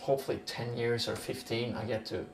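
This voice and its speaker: A man's voice: medium pitch, level tone, brisk, echoey room, background noise. A man with a Norwegian accent talking casually in an interview, musing in a rambling, sweary way. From Norway.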